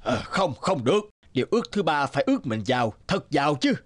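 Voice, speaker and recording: A man's voice: on the high side, sing-song, fairly quick. A man playing a greedy, flustered rich husband in a children's fairy-tale cartoon, muttering and exclaiming theatrically. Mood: stubborn, grudging.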